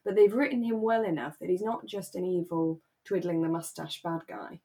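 Lower-pitched woman chatting. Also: fairly even intonation, normal speed, warm tone. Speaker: podcast co-host. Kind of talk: casual chat reviewing a radio drama.

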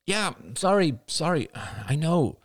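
Casting: male reader as frantic young mum, lifted pitch, pleading and exasperated, audiobook drama. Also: sing-song, takes it slowly, no echo, clean studio sound, full character voice. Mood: strained, exasperated. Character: anxious, exasperated young mother on the phone. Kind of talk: audiobook character voice.

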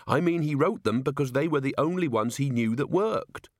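A man's voice: medium pitch, lively intonation, normal speed, no echo, clean studio sound, pitched above the performer's own voice, lightly acted. A man voicing an earnest, polite young watchman in an audiobook, explaining things patiently and precisely. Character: earnest, polite, literal-minded young male city watchman. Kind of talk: audiobook character voice.

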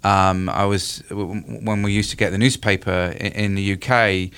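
A deep, smooth voice from a middle-aged man with a British accent. He answers as an academic guest on a radio talk show, working through his points with frequent ums and restarts and the odd chuckle. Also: fairly even intonation, moderate pace, fairly bright tone. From United Kingdom. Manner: hesitant, many fillers and restarts, chuckling asides. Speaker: political science professor.

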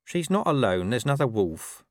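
A man's voice: medium pitch, big pitch swings, moderate pace, dry recording, studio quality, pitched above the performer's own voice, close to an everyday voice. A man voicing a scruffy, streetwise talking dog in an audiobook, blunt and wary, with hesitant, colloquial speech. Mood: awkward, careful. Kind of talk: audiobook character voice.

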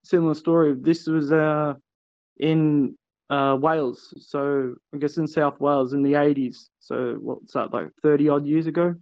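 A man's voice: on the high side, steady tone, a little slow, no echo. Hesitant man, lo-fi dry audio. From Australia. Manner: hesitant, many fillers. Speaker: guest. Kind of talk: casual call-in interview.

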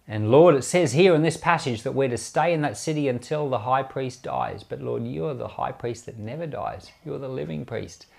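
A man's voice: mid-range voice, normal speed, creaky, hardly any pauses, a little mellow. A man speaking as a pastor, giving informal Bible commentary with many restarts and closing in earnest prayer.

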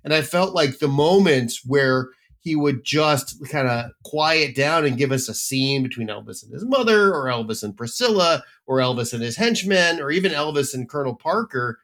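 A man's voice: medium pitch, animated, moderate pace, few pauses, fairly bright tone. A man co-hosting a casual film review chat, giving blunt and emphatic opinions. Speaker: film critic co-host.

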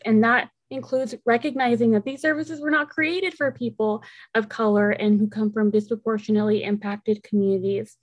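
A young woman whose first language is Spanish, co-hosting a podcast in an earnest, measured way that slows down on key points. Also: fairly high pitch, a little mellow. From Oceanside, California. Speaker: podcast co-host. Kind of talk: podcast discussion.

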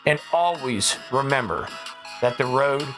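An American podcast host delivering an impassioned political monologue, emphatic and repeating phrases for effect, with a slightly hoarse voice. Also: medium pitch, lively intonation, a little slow, a bit bright, soft background music. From United States.

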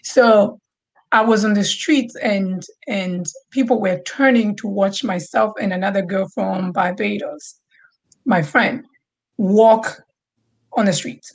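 Woman from tanzania on a panel, chatty, pauses and ums a lot. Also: medium pitch, poor audio. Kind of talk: panel discussion.

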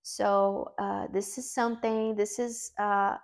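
A woman's voice: medium pitch, level tone, takes it slowly. A woman from Brazil with a Brazilian Portuguese accent, whose first language is Portuguese, giving an earnest self-help talk and repeating words as she searches for them.

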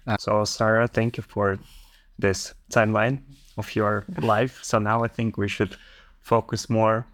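A man's voice: lowish voice, level tone, unhurried, a bit bright, no room sound. A man co-hosting an interview podcast, asking curious questions with a light joking touch. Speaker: podcast co-host.

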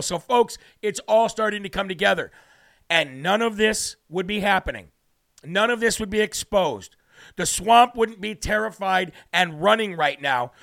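A man's voice: high voice, gravelly, a bit bright, dry recording, clean studio sound. A man from New Hampshire hosting a live political talk show, brash and preachy, losing his voice as he reads out viewer comments.

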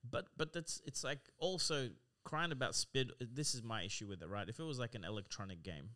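A man's voice: mid-range voice, a little fast, bright, forceful tone. A man co-hosting a podcast in casual chat, candid, laughing mid-sentence and swearing casually. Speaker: podcast co-host. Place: studio room, in person.

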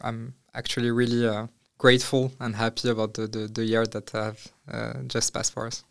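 A man's voice: medium pitch, monotone, moderate pace, vocal fry, clean tone. A young man from Morocco, a startup founder answering interview questions with many fillers and restarts.

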